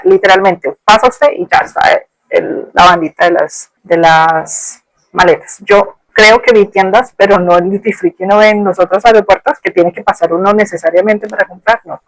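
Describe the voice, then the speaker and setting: A woman's voice: medium pitch, no room sound, low-quality recording. A woman with a Colombian Spanish accent telling a personal story as a podcast host, in a reflective, conversational and colloquial way.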